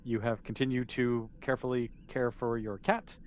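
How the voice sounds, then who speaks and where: A man's voice: medium pitch, flat delivery, moderate pace, a little mellow. A man running a tabletop game as game master, explaining things in a patient, conversational, improvised way. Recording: clearly noisy background.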